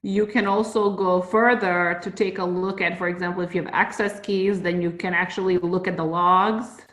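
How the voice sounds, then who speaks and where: Woman, lower register, conversational consultant explaining in discussion, roomy echoing sound. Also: fairly even intonation, moderate pace, fairly bright tone. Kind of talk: casual explanatory panel discussion.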